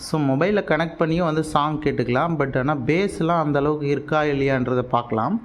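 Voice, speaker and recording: A man's voice: fairly high pitch, fairly quick. A man with a Tamil Nadu accent explains things casually in an unboxing vlog, dropping English words into his speech. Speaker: product reviewer. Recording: noticeable steady background noise.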